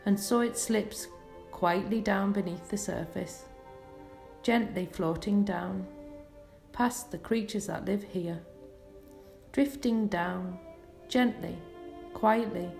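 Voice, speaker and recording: Female hypnotherapist for scripted guided relaxation; soothing, unhurried pauses, noticeably creaky voice, faint music underneath. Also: mid-range voice, darkish tone. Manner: soothing, measured, lulling delivery with pauses. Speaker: clinical hypnotherapist leading a session.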